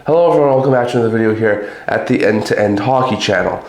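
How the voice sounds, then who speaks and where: A man hosting a hockey channel, giving a casual solo recap of trades in loose, chatty sentences, his voice a little raspy from a cold. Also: lowish voice, fast talker, roomy sound, over music. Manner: chatty, talks in loose run-on sentences with fillers.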